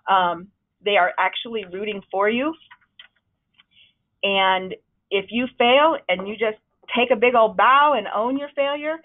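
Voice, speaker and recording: A woman leading a webinar on presenting, with a loud, projecting voice that is starting to wear out, talking in a conversational, encouraging way with frequent ums. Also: mid-range voice. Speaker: presentation trainer. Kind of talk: webinar talk. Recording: narrow, phone-like webinar audio.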